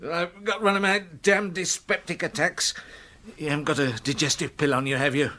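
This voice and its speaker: A middle-aged, crotchety businessman in a radio comedy, forever complaining about his bad stomach. His voice is fairly high and sharp, with an irritable edge. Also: little pitch movement, moderate pace. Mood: querulous. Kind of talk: radio comedy drama acting.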